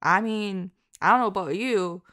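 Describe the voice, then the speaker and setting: A woman talking casually and from the heart to her listeners, halting and self-deprecating, in an informal way. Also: medium pitch, sharp tone, no echo, studio quality. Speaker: audio roleplay and ASMR creator.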